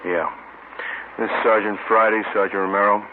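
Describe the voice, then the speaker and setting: Male actor as authoritative police captain, vintage radio drama. Lowish register, brisk questioning manner, muffled sound. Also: fairly even intonation, lightly acted. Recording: muffled, hissy old radio recording.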